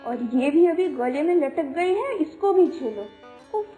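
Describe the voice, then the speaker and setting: A woman's voice: high-pitched, animated, unhurried, warm tone, soft background music. A woman playing a whiny, indignant younger sister-in-law who complains sarcastically and melodramatically in a cartoon story. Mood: whiny, aggrieved. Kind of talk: Hindi animated moral story acting.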